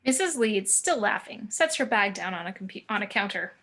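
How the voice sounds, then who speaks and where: A woman reading screenplay action and scene descriptions in a measured, descriptive manner. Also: medium pitch, expressive, fairly bright tone. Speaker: narrator.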